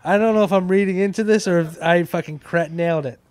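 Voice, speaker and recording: A man's voice: high-pitched, quick pace, a little mellow, no room sound. An American man in his thirties bantering casually as a comedy podcast co-host, speaking into a handheld mic. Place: small wood-panelled podcast room, indoors. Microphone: handheld microphone held close.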